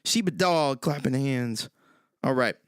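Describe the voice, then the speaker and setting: A man hosting his own live stream, chatting quickly and casually with viewers, his voice worn out from singing. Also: clear, resonant tone, no echo, clean studio sound. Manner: quick, low-key, lots of ums and uhs. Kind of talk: casual chat with viewers between songs.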